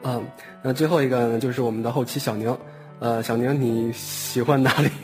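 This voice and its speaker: 男主持人，随意闲聊，背景有轻音乐. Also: male voice, mid-range voice, steady tone, fairly quick, a little mellow, faint music behind. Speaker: host. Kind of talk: informal cast chat hosting.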